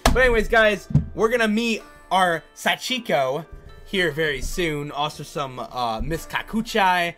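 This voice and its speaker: A man's voice: fairly high pitch, animated, a little slow, sharp tone, faint music behind. A man doing casual, chatty let's play commentary, speaking emphatically and repeating himself as he addresses his viewers. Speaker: gaming YouTuber.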